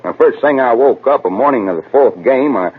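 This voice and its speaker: Husky, folksy baseball slugger on old radio. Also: male voice, mid-range voice, animated, fairly quick. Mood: dejected, earnest. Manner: rustic, hesitant drawl. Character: folksy young baseball slugger, nervous, superstitious, bashful. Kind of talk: 1940s radio acting. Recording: tinny, narrow-band old radio recording.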